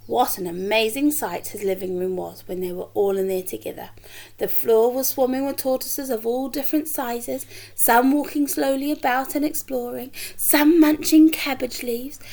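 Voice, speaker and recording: Animated, higher-voiced woman reading a children's storybook. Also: vocal fry. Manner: animated, acts out dialogue, chatty asides. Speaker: teacher reading aloud to pupils. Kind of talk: expressive children's storybook read-aloud with asides to listeners.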